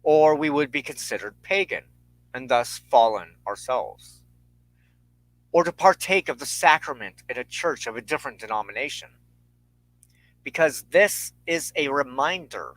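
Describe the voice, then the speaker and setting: A man hosting a spiritual talk in a solemn, sermon-like style, speaking in measured, formal and ceremonious phrases. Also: medium pitch, a bit bright, no echo, rough recording. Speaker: host of a weekly spiritual talk show.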